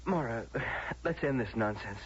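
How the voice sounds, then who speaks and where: A man's voice: mid-range voice, expressive, unhurried, slight background noise, lightly acted. An actor playing a desperate, pleading man in a radio drama, coaxing urgently and hesitantly. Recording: muffled old radio broadcast recording.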